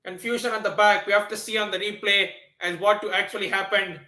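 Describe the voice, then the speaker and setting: A man's voice: high-pitched, a little fast, roomy sound. A man from Nepal hosting a football watchalong stream, commenting on the match and answering viewers with many fillers and restarts. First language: not Hindi. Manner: excitable, lots of fillers and restarts. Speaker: livestream watchalong host.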